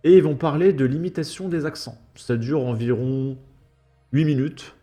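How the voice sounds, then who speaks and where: A man's voice: fairly high pitch, normal speed, dark tone, faint music behind. A man giving an opinion commentary, indignant and full of rhetorical questions. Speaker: YouTube commentator.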